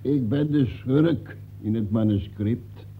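A man playing a rough, cunning crook from a manuscript in a radio play, slangy and mockingly polite, with a gravelly, husky voice. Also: medium pitch, takes it slowly, a little hiss or hum, close to an everyday voice. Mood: boastful. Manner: slangy underworld talk, insolent mock politeness. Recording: muffled, phone-like old radio recording.

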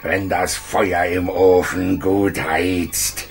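Deep, gravelly, bossy old stove. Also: male voice, little pitch movement, faint music behind, exaggerated voice. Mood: soothing. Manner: drawn-out, soothing then commanding. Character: big cosy old stove, bossy, placid, grumbling. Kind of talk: children's radio play acting.

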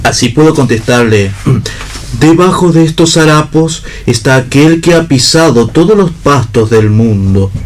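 A man's voice: a little high, expressive, a little slow, slight background noise. A man acting a proud, lyrical ragged vagabond in a radio play, declaiming poetically. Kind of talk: read theatre for radio.

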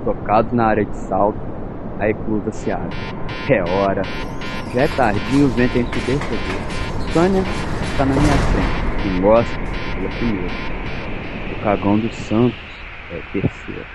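A man's voice: lowish voice, moderate pace, over loud music, close to an everyday voice. A man with a Brazilian accent playing a cynical, nervous, foul-mouthed soldier who narrates an audio drama in the first person. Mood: tense. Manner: sardonic, colloquial, swearing. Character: cynical, nervous, foul-mouthed male soldier piloting giant war machine. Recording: clearly noisy, engine and outdoor ambience.

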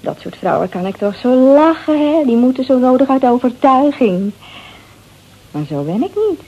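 A woman's voice: sits high, animated, normal speed, husky, lo-fi sound, heavily acted. An actress playing a brazen, flirtatious married woman who drinks sherry, teasing and mocking in a radio drama. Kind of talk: Dutch radio drama acting.

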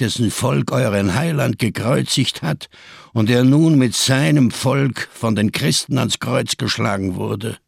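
Embittered male survivor, husky and cracked, close dry sound, solemn biblical rhetoric, radio drama monologue. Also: on the low side, no echo. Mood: bitter, solemn. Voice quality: gravelly, husky. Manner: solemn, rhetorical, biblical diction, bitterly sardonic.

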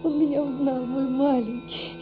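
A woman's voice: high-pitched, flat delivery, normal speed, natural voice. A woman playing a loving, anxious mother in a radio play, cooing tenderly and tearfully. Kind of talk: Russian radio play acting. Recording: dull lo-fi radio play, music underneath. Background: music.

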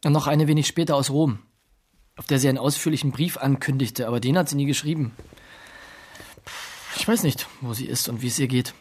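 An actor playing a reserved, polite gentleman writer in a radio drama, measured and restrained. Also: mid-range voice, husky, lightly acted. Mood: quietly regretful. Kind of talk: radio drama, literary narration and dialogue.

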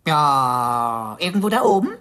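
A woman's voice: low-pitched, sing-song, a little slow, fairly bright tone, heavily acted. A woman voicing a cheeky, philosophising computer sidekick in a radio drama, wry, wordy and mock-formal. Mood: wry, musing.

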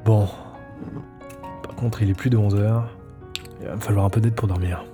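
A man's voice: on the low side, fairly even intonation, moderate pace, natural voice. A man acting an earnest, self-assured investigator in an audio drama. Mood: tired, muttering. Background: music.

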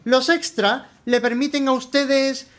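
A man's voice: very high voice, flat delivery, measured pace, a bit bright. A male actor playing a smarmy customer-service salesman in a radio play, with a theatrical, over-polite patter and an accent from Spain. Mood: gushing salesmanship. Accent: Spanish from Spain.

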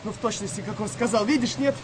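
An actor playing a young, frightened and inquisitive sailor in a wartime audio play, with a colloquial, excitable way of talking. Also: male voice, high voice, fast, noisy background, natural voice. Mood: alarmed.

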